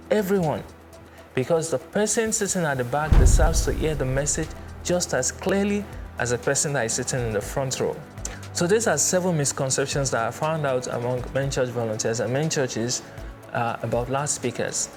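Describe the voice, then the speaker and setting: A man presenting an explainer in a measured, didactic way, stressing his points. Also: mid-range voice. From Nigeria. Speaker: presenter, church sound instructor. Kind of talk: explainer with everyday analogies. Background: background music.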